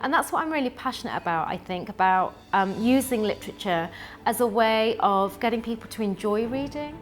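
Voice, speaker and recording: A woman's voice: on the high side, expressive, fairly quick. A woman speaking earnestly in a documentary interview about reading and her city. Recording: music playing behind.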